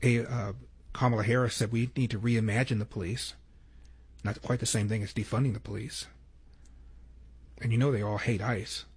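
Sardonic male host framing clips, husky tone. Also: lowish voice, steady tone, moderate pace, long pauses. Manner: sardonic, skeptical asides. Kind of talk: commentary between clips.

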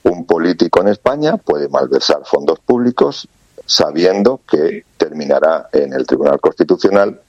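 A man's voice: rumbling low, animated, moderate pace, darkish tone, no room sound, highs cut off. A man from Spain with a Spanish accent, speaking as a guest commentator in an earnest way, restarting phrases with fillers. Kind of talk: remote interview commentary.

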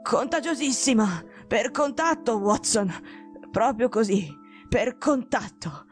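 A woman reading an audiobook voices a gravely ill man who gives weak, rasping, slow but commanding orders. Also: on the high side, animated, soft background music. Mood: weak imperious. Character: gravely ill, imperious man detective, feverish.

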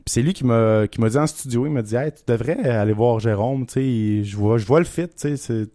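A man's voice: mid-range voice, warm tone, no room sound. Québécois man chatting casually, dry close sound. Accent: Québécois French. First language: French. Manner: informal, halting, repeats words. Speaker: country singer-songwriter being interviewed. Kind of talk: casual interview chat.

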